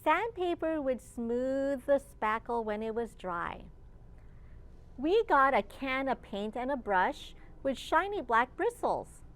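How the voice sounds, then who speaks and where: Female children's storytime reader, high voice, unhurried and encouraging. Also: expressive. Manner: slow, encouraging, asks listeners simple questions. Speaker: children's librarian leading storytime. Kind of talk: read-aloud storytime for young children.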